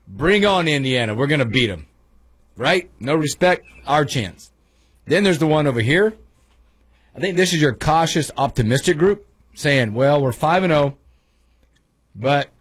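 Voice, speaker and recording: Husky-voiced male radio co-host. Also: mid-range voice, dry recording. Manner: chatty, emphatic, thinks aloud. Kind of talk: casual sports radio chat.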